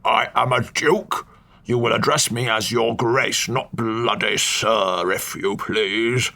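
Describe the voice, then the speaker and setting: A man doing a gruff, blustering old duke. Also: mid-range voice, full character voice. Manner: slow, gruff, shouting bursts of indignation. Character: cantankerous elderly male duke, irascible and blustering. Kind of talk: audiobook character voice.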